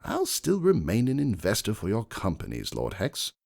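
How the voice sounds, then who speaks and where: A confident aristocratic pony gentleman, played by a man, defiant and cutting as he argues his case. His pitch swings very widely around a lowish, creaky base, over faint music. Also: huge pitch swings, normal speed, full character voice. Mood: cool, firm. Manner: emphatic, cutting. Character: self-assured wealthy gentleman stallion, progressive, defiant. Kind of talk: dramatic first-person fan fiction reading.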